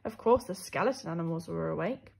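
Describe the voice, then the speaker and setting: A woman's voice: on the low side, lively intonation, fairly quick, gravelly, darkish tone. A woman teacher reading a children's story expressively, with emphatic, dramatic phrasing. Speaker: primary school teacher.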